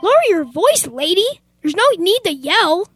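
A young woman playing a fussy, wealthy, oblivious gentleman in an amateur old-time radio play. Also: very high voice, sing-song, normal speed, over loud music. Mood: exasperated. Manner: fussy, exclamatory, posh phrasing.

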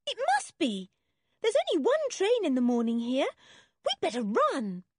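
Storybook young girl, acted by a woman: high, swooping voice, eager and gentle. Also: wildly swooping pitch, a little slow, husky, no echo, studio quality, full character voice. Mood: excited. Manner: eager, exclamatory. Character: young girl Anne, gentle, excitable, homely, adventure-shy.

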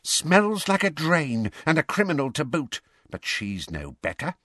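Hoarse, spiteful old house elf. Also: male voice, fairly high pitch, wildly swooping pitch, unhurried, a bit bright, no echo, clean studio sound, voice pushed up, heavily acted. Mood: bitter, lamenting. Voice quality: hoarse, croaky. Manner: muttering, self-pitying, talks of himself in third person.

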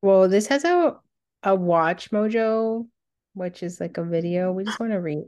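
A woman's voice: mid-range voice, lively intonation, unhurried, darkish tone. A woman co-hosting a casual countdown show, reading out entries and reminiscing in a conversational way. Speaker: co-host of a cartoon countdown show.

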